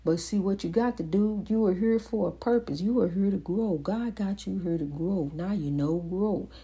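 Woman, husky voice, mellow tone, preachy, rambling, repeats her phrases, faith talk recorded at home. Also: medium pitch, moderate pace. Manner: rambling, with many fillers and restarts. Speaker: host of a motivational program. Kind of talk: inspirational faith monologue. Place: indoors at home.

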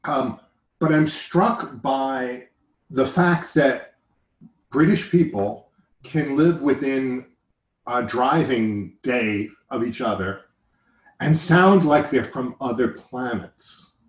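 An American man talks in a loose, joking way, wandering off track and correcting himself as he goes. His voice sits at an ordinary middle pitch. The room around him gives it a noticeable echo. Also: slow pace. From United States. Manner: joking, rambling, self-interrupting. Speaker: podcast host. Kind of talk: casual chat.

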